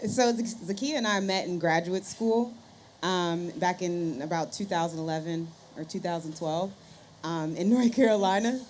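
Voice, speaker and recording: A woman's voice: lowish voice, normal speed, a little mellow. A woman panel guest telling stories conversationally, acting out bits of dialogue as she goes. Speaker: podcaster and scientist, panel guest. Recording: live event room with background noise.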